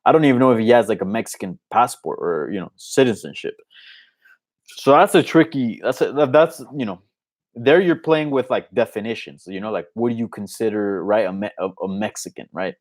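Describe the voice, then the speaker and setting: Male host, from Colombia, Spanish first language, lower voice, casual conversational live Q&A delivery. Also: a little fast. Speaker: host of an MMA live Q&A show. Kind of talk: casual unscripted live Q&A commentary.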